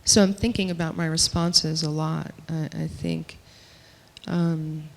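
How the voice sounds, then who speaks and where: A woman speaking at a panel discussion, voicing for a panelist in a measured, earnest way with some emphatic stretches. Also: low-pitched, steady tone, vocal fry, a little hiss or hum. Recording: long reverb of a large hall.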